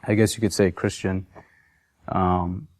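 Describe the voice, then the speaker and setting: Deep, flat-voiced man, halting testimony. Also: dry recording. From Massachusetts, USA. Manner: hesitant, many fillers and restarts. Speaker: convert sharing his story. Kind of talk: personal testimony, unscripted.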